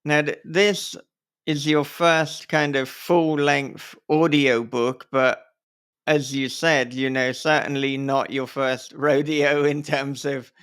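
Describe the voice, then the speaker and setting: A man with a smooth, clear voice hosts a relaxed, casual interview. He speaks slowly at a middling pitch, circling and rephrasing as he frames his thoughts. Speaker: podcast host and author interviewing a guest.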